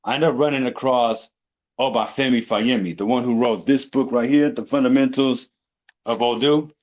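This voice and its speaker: A man, a podcast guest, telling his spiritual journey in a relaxed, storytelling way, often checking in with "you know" and "right". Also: medium pitch, moderate pace, highs cut off. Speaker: interview guest. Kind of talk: casual conversation.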